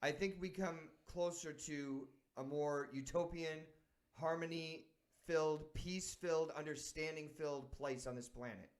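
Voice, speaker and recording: A man from San Diego who hosts a sports talk show, speaking with a slightly higher voice. He reflects earnestly and thinks out loud, restarting his sentences as he goes. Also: a little slow. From San Diego, United States.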